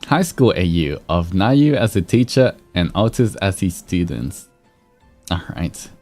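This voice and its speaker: Very low-voiced, mellow man streaming. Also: very animated, measured pace. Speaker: VTuber streamer host. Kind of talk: livestream fan-fiction reading with casual chat. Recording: soft music behind. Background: background music.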